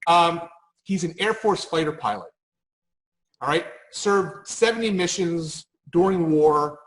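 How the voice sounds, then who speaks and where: Male marketing panelist, higher voice, emphatic storyteller who restarts mid-phrase, unscripted delivery. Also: low-quality recording.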